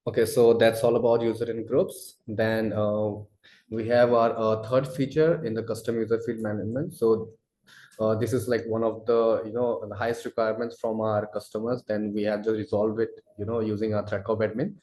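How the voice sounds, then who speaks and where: A man presenting a software product in a webinar, with a halting, informal delivery full of verbal tics. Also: fairly low pitch, flat delivery, a little hiss or hum. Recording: echoey room sound.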